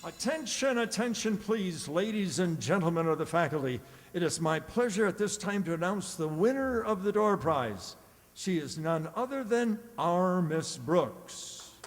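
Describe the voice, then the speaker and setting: An actor playing a pompous, blustering middle-aged school principal with sputtering, emphatic delivery in an old-time radio comedy. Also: male voice, high voice, lively intonation, measured pace. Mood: formal, announcing. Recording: reverberant stage sound, music in background.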